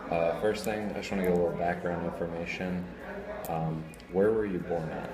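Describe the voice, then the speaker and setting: A male interviewer asks straightforward questions in a low voice, dropping in a few fillers. Crowd chatter fills the echoey room around him. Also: fairly even intonation, moderate pace.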